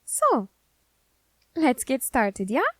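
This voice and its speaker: Woman doing a nerdy eager grim reaper for asmr roleplay, highish voice, really unhurried, fascinated but kinda threatening, close dry sound. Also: wildly swooping pitch, a bit bright, no echo, full character voice. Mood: playful threat. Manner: fascinated, deliberate, politely threatening. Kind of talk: ASMR roleplay addressed to the listener.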